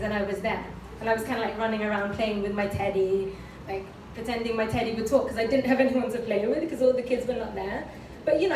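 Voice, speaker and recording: British woman giving a talk, kinda high voice, chatty with lots of ums, echoey room, mic a bit far off. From United Kingdom. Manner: chatty and candid, frequent fillers, light laughs. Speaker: speaker giving a talk with slides. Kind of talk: conference talk, personal story. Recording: echoey room, distant mic, background noise.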